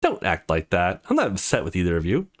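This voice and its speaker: A man's voice: low-pitched, huge pitch swings, quick pace, pitched below the performer's own voice, heavily acted. A man voicing a brash, foul-mouthed, teasing young woman who is a loyal friend in a visual novel game. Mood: teasing, frank.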